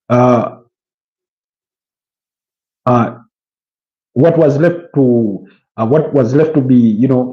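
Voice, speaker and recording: A man from Uganda talks through football news on his channel's live stream, pausing often with fillers and restarting his sentences. His voice is dark and relaxed, in a middle pitch. The sound is a little dull and lo-fi. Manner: hesitant, many fillers and restarts. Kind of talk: live-stream football chat reading viewer comments.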